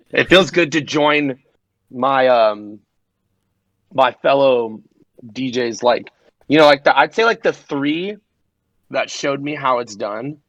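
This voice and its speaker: Gravelly-voiced young man telling stories. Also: medium pitch, a bit bright, no room sound, low-quality recording. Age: 20s. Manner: rambling, profane storytelling with quick impressions. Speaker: DJ guest. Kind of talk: casual podcast chat.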